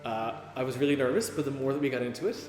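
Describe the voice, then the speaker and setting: A man radio drama performer talking excitedly and casually in a documentary interview, repeating himself. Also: mid-range voice, racing. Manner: excited, repetitive. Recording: roomy echo with faint music behind.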